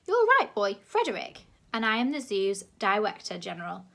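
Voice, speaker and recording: A woman's voice: medium pitch, big pitch swings, normal speed, gravelly, fairly bright tone. A woman voicing a stiff, achingly posh male zoo director whose R's come out as W's. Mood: pompous. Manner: pompous, thundering.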